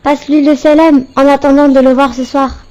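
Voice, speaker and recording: A woman voicing a lively, friendly boy in a cartoon, on dull audio. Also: high-pitched, a little fast, lightly acted.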